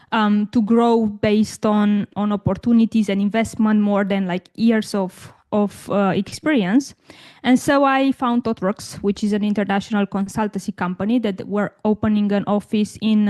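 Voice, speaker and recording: A woman in her thirties, from Romania, answers interview questions in a relaxed, conversational way. She circles back on her words, repeating small phrases as she finds her thought, with a pitch that sits in the middle of her range. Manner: thoughtful, many restarts and fillers. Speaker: guest, tech career coach.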